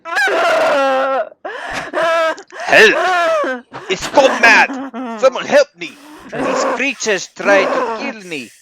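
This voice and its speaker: An actor playing a cold, condescending Soviet scientist in a theatrical horror audio drama. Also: male voice, extremely high pitch, animated, slow pace, over a noisy crowd, exaggerated voice. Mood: panicked shouting. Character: cold, domineering Soviet male scientist, contemptuous of his captives.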